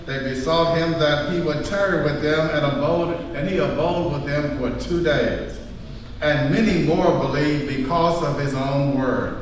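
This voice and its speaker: A man playing John, an assured disciple telling gospel stories as the measured narrator of a live church drama. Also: a little high, close to an everyday voice. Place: church hall, live stage performance. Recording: distant echoey hall, faint noise.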